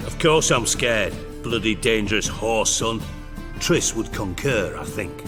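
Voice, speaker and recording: An actor playing a cynical middle-aged spymaster in a video game, with a British accent and a mockingly polite, wry, profane way of talking. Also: male voice, lowish voice, lively intonation, a little slow, long reverb tail, music in the background. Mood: smug. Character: cynical, shrewd, sarcastic male underworld spymaster, middle-aged.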